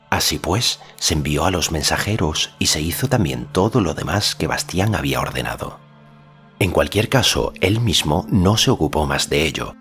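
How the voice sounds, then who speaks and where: Very deep-voiced male audiobook narrator. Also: fairly bright tone. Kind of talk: scripted literary narration.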